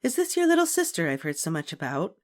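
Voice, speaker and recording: A woman voicing a kind, understanding children's librarian who speaks gently and politely. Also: fairly low pitch, wildly swooping pitch, fast talker, pitched above the performer's own voice. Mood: gentle.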